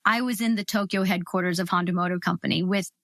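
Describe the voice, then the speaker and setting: A woman's voice: fairly low pitch, fairly even intonation, fast, fairly bright tone, no room sound, studio quality. Thoughtful woman guest from Ohio. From Ohio, USA. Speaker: guest, cross-cultural consultant. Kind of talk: interview answers.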